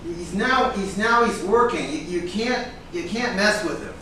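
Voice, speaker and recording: An actor in a staged reading playing a protective, admiring friend. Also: male voice, high voice, expressive, unhurried, bright, forceful tone, heavily acted. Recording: echoey room from far off, faint noise.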